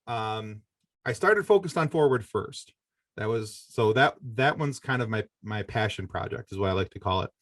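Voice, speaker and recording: A man's voice: on the low side, expressive, moderate pace, a bit bright, no room sound, studio quality. A middle-aged American man from Michigan, a podcaster, giving earnest, rambling conversational answers as an interview guest. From Michigan, United States.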